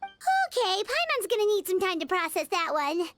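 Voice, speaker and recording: A woman's voice: very high-pitched, big pitch swings, normal speed, fairly bright tone, full character voice. An actress voicing a chatty, curious little companion girl in a video game who talks about herself in the third person. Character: tiny floating girl companion, chirpy and curious. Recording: faint game music behind.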